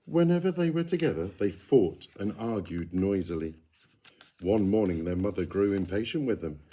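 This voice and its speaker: Mellow lower-voiced man reading a children's story. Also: lively intonation, normal speed, slightly rough. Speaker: storyteller reading a picture book aloud. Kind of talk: expressive children's story narration.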